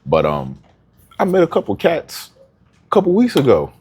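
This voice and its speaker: A man's voice: a little high, huge pitch swings, moderate pace, darkish tone, breathy edge. A man from New Jersey co-hosting a podcast, telling stories in casual chat with laid-back slang. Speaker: podcast co-host.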